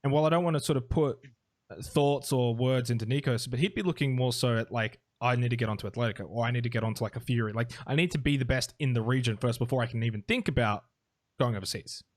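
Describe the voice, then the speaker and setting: A man's voice: mid-range voice, fast talker. A man hosting a casual podcast interview, asking long, hedging questions. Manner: chatty and hedging, with lots of fillers. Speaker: podcast host.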